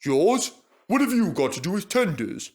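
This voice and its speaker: A man's voice: sits high, big pitch swings, moderate pace, a little mellow, voice pushed up. A man voicing a jealous, pompous, huffy male steam engine in a children's story.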